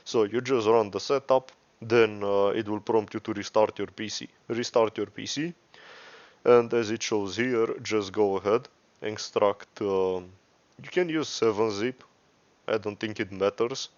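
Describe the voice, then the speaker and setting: Slow low-voiced man giving a tutorial. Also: steady tone, dry recording, highs cut off. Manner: rambling, profane, self-correcting. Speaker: tutorial presenter. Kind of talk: casual unscripted screen-share software tutorial.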